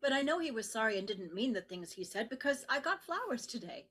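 A woman's voice: on the high side, sing-song, fairly quick, fairly bright tone, breathy edge, exaggerated voice. An actress playing a trusting, wounded woman who excuses her partner, in a dramatic poem recitation. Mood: hurt, excusing.

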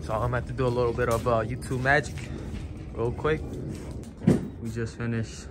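A man's voice: medium pitch, level tone, fairly quick, loud music behind the voice. A young man talking casually into his phone camera, laid-back and slangy, as he films his work day. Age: young adult. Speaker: vlogger. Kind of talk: casual vlog chat. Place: outdoors in a parking lot by a work trailer. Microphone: phone camera held at arm's length.

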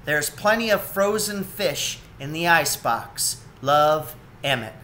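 A man's voice: a little high, animated, slow pace, pushed and bright, a little hiss or hum, full character voice. A man voicing an earnest, reassuring young otter son from a storybook, plain-spoken. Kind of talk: storybook character reading.

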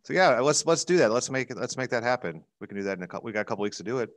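A man's voice: medium pitch, animated, racing, no room sound. A middle-aged American man chatting informally and informatively as a show panelist on a video call. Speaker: legislative advocate and show panelist. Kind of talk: casual informational chat. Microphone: headset on a video call.

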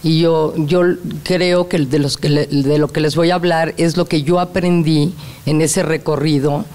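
A woman's voice: low voice, steady tone, darkish tone. A woman interpreting a talk line by line, seated at a panel table in a large hall with a handheld mic. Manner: quick, restating lines in brief. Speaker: speaker and interpreter. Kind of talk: consecutive interpreting. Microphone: handheld microphone.

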